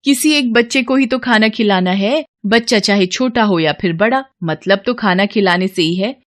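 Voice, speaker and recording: A woman's voice: mid-range voice, normal speed, natural voice. A woman voicing a stingy, complaining and easily exasperated housewife in a devotional storybook tale. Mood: scheming. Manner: complaining, scheming.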